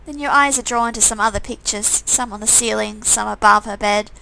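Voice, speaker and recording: A woman's voice: medium pitch, a little fast, creaky, bright, forceful tone, slight background noise, low-quality recording. A woman reading a visual novel game aloud, with sarcastic, amused asides in a casual style. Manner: sarcastic, amused commenting between read lines.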